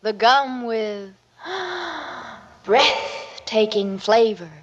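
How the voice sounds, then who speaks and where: A woman's voice: fairly high pitch, animated, drawn-out words, noisy background. A woman delivering a slogan in a 1950s radio advertisement. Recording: muffled old radio recording, long reverb.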